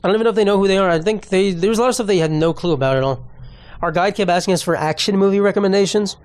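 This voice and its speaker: A man's voice: high voice, racing, darkish tone, no echo. A man hosting a podcast alone, rambling and ranting in a casual, profane way. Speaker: podcast host. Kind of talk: casual solo podcast monologue.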